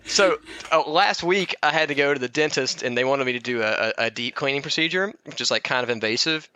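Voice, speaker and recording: A man's voice: mid-range voice, a little fast, clean tone, no echo. A man hosting a casual comedy podcast, joking and swearing freely as he chats and reads out comments. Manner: profane, joking, animated. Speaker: podcast host.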